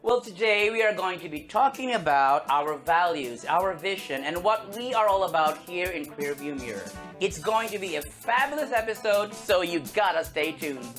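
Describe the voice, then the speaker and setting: Male host, campy and playful, scripted intro, soft music under him. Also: high-pitched, expressive, moderate pace, hardly any pauses. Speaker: show host. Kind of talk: scripted show opening.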